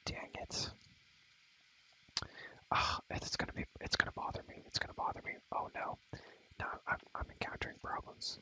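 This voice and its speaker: A young man whispering in a casual ASMR game tour, breathy and slangy, softly repeating his phrases. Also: moderate pace, long pauses, rough recording. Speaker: video creator showing a game world.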